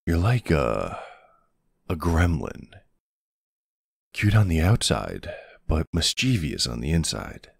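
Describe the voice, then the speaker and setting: Man acting as older ex-military boyfriend, very low husky voice, slow and unhurried, tender, teasing, protective, ASMR roleplay. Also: very animated, dry recording, clean studio sound, heavily acted. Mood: fond, teasing. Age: middle-aged or older character. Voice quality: husky, gravelly. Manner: affectionate teasing, hesitant pauses, soft reassurance.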